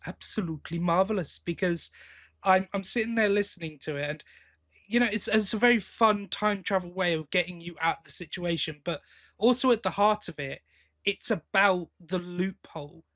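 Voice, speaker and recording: A man's voice: sits high, a bit bright. A man co-hosting a podcast, chatting casually and giving opinions with enthusiasm and frequent restarts. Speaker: podcast co-host. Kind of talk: casual chat reviewing audio drama.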